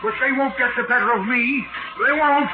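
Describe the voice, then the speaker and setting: A man's voice: very high voice, normal speed, over loud music. An actor playing an agitated, obsessed professor losing his mind, in 1940s radio drama style, urgent and repeating himself. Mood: crazed defiance. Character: frantic, obsessed male professor descending into madness. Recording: scratchy tinny 1940s radio recording.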